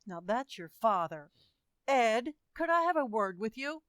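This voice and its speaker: A woman acting a firm, weary mother, measured and reproving, in a radio comedy. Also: a little high, sing-song, a bit bright, smooth, no echo, clean studio sound. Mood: wavering.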